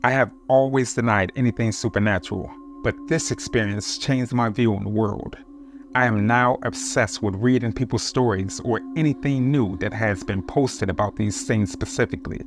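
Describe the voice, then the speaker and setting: A man narrating true-encounter horror stories in a steady, measured reading voice. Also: lowish voice. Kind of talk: scripted horror story narration.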